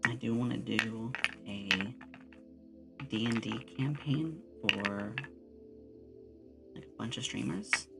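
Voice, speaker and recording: A woman's voice: very deep voice, slow, creaky, pauses often, over loud music. A woman chatting casually on an ASMR livestream, rambling with playful asides, close on a binaural microphone. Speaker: streamer and game master.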